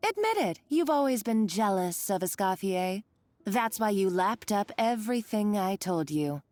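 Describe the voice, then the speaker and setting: A woman's voice: mid-range voice, sing-song, a little slow, a little mellow. A woman voicing a scheming, spiteful villain in a video game, who talks in a taunting, condescending and bossy way. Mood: gloating. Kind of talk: game voice acting. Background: faint game music.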